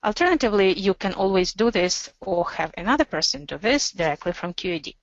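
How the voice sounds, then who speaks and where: Female webinar presenter, mid-pitched, measured step-by-step software walkthrough, close and dry with slightly dull audio. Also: expressive, no room sound. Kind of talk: instructional software demo narration.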